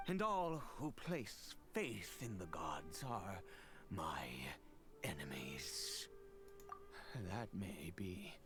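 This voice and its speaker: An actor playing a wary, bitter man cursed with immortality in a video game, theatrical and halting, sinking into breathy whispers. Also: low voice, big pitch swings, over music, full character voice. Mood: brooding. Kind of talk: dramatic video game voice acting.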